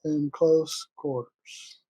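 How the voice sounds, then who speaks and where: A man's voice: fairly high pitch, steady tone, very slow, dark tone, rough recording. A man who is a Navy veteran, telling his service stories in a casual interview and rambling as he loses his thread.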